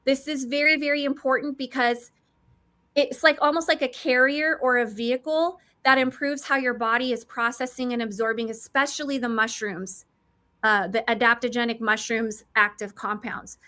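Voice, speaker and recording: A woman in her forties giving an eager, chatty product pitch about mushroom supplements, calling herself a nerd. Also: steady tone, moderate pace, pushed and bright. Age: 40s.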